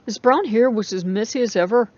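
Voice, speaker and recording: A woman's voice: a little high, fairly quick, darkish tone, lo-fi sound. A woman giving a scripted narration of a story as a dramatic reading.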